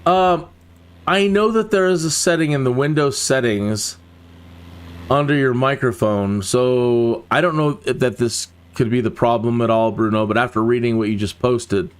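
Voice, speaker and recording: A man's voice: mid-range voice, moderate pace, clean tone. A man hosting a flight simulator livestream, chatting casually and musing aloud as he flies. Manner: musing, speaks thoughts aloud while flying. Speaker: livestream host. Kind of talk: casual chat while flying a sim.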